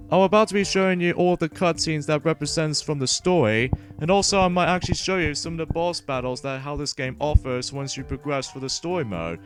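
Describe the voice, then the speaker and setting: A man hosting a gaming let's play, talking in a wordy, rambling way and stumbling over words as he comments and reads along. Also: a little high. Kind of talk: gameplay commentary and read-aloud narration. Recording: music playing behind the voice. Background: video game music.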